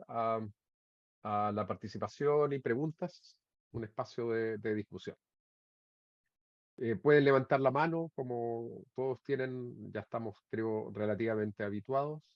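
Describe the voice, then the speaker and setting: A man moderating an academic session informally, speaking hesitantly with pauses and restarted phrases. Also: mid-range voice, no room sound. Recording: dull lo-fi sound.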